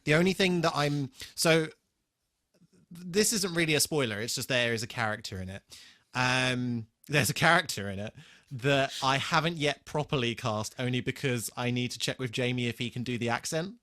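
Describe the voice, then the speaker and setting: A man co-hosting a podcast in casual banter, halting and correcting himself as he thinks aloud. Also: medium pitch, pushed and bright, dry recording, studio quality. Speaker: podcast host. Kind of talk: casual banter between co-hosts.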